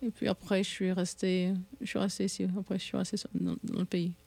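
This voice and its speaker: A woman's voice: mid-range voice, little pitch movement, brisk, creaky, darkish tone. French woman guest on local radio, chatty, keeps restarting sentences, medium voice. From France. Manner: chatty, with frequent restarts and fillers. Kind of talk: casual radio interview.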